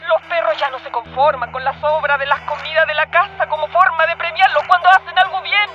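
A high-pitched, aging former police commander, desperate and forgetful. She stammers and repeats her pleas in radio-theatre style. The recording is dull and lo-fi, with loud music underneath. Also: steady tone. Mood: anxious. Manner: halting, repetitive, pleading, with stammered restarts.

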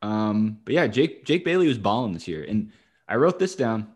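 A man with a fairly low voice hosts a casual sports talk show. He chats in a wry, dry way and swears freely as he gives his commentary. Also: sing-song, moderate pace, darkish tone, breathy edge. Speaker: sports podcast host.

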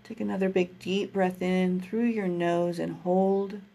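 A woman guiding a breathing meditation, speaking in a slow, soothing, gentle way. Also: on the low side, fairly even intonation, vocal fry. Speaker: meditation guide.

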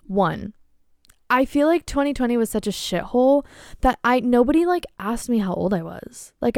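A woman in her twenties hosting her own podcast, chatting casually on her own with an excitable, candid manner, laughing often and swearing freely. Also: fairly high pitch, clean tone, no room sound, studio quality. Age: 20s. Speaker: podcast host. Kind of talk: casual solo chat.

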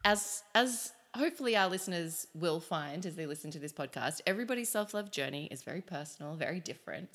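A woman hosting a podcast interview, talking in a bright, encouraging way and laughing often. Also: fairly low pitch. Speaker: podcast host.

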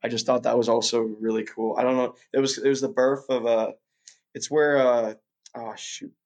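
A young man, born in Russia, talks through an interview in a relaxed, meandering way. His voice sits at an ordinary middle pitch. He fills pauses with "um" and "you know" and often restarts his sentences. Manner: rambling, many fillers and restarts. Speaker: guest. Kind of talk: casual interview chat.

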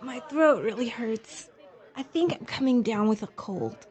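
A woman playing someone feeling ill, in a husky, dark voice. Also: fairly high pitch, expressive, measured pace, a faint crowd, heavily acted. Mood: unwell.

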